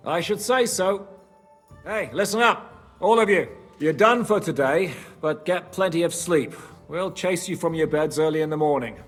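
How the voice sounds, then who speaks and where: An actor playing a practical, plain-spoken leader of a medieval band in a naturalistic game cutscene. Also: male voice, fairly high pitch, moderate pace, faint music behind, natural voice. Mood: brisk, instructing. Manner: blunt, matter-of-fact.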